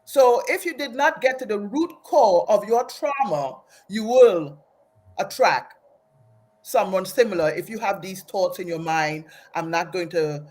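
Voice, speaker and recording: A woman's voice: mid-range voice, unhurried, darkish tone. A woman from Aruba, raised in Trinidad, telling her personal story as an interview guest in an earnest, reflective way and often restarting phrases.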